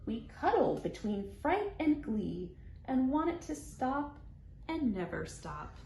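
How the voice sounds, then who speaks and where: Expressive, high-pitched woman reading to children. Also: creaky. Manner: animated, sing-song, deliberate phrasing with playful sound words. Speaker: children's librarian, storytime host. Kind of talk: expressive picture-book read-aloud for young children, with rhymes. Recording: roomy echo, faint background noise.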